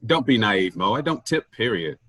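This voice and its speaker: A man's voice: fairly low pitch, sing-song, moderate pace, a bit bright, breathy edge, no room sound, clean studio sound, full character voice. A man in a staged comedy reading playing a pushy, self-important office boss who preaches efficiency emphatically. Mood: dismissive.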